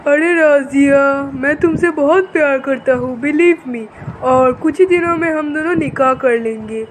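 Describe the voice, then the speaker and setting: Man acting high-pitched, devoted young lover. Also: unhurried, slight background noise. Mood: earnest, affectionate. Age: young adult. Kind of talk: dubbed cartoon story dialogue.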